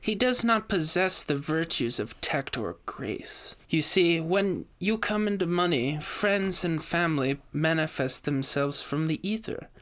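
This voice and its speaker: An elegant society hostess, as a male narrator puts her on, speaks in a lifted, genteel voice. She is formal and measured, a seductive woman of wealth in a dramatic audio story. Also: a little high, animated, fairly bright tone, pitched above the performer's own voice. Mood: gracious, poised.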